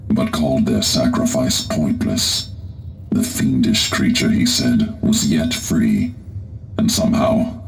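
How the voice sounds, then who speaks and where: A troubled warrior medic from a sci-fi game, with an extremely low, creaky voice. His delivery is slow, solemn and weary, over faint music. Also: exaggerated voice, poor audio. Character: grave, troubled armored warrior medic confessing dark secrets. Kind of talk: video game cutscene acting.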